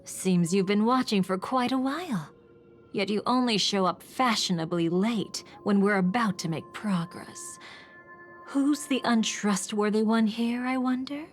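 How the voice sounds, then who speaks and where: Drawling, taunting villainess, played by an actress. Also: female voice, medium pitch, unhurried, faint music behind, close to an everyday voice. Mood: mocking. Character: taunting, treacherous, sultry villainess, adult woman schemer. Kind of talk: video game English dub acting.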